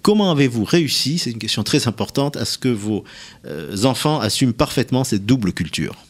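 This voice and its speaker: A man hosting a radio interview, putting long, deliberate, prepared questions to his guest with some hesitation. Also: mid-range voice, big pitch swings. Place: radio studio.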